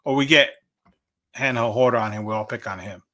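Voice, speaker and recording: A man's voice: medium pitch, a little fast, pushed and bright. A man from the United States chatting casually on a podcast in a rambling, self-deprecating way. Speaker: podcast participant. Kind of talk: casual chat.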